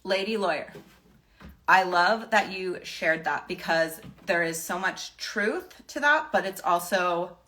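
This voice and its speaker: A woman with a slightly low voice talks off the cuff, as if hosting a live chat for followers. She gives advice in an emphatic, reassuring way, often restating a point for weight. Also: slow pace, sharp tone. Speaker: live stream host giving wellness advice.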